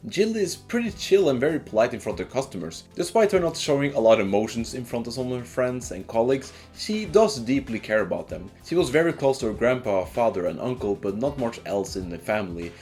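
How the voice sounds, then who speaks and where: A man's voice: medium pitch, sing-song, brisk, hardly any pauses, darkish tone, soft background music. A man narrating a casual scripted video game review in an informal, sweary way.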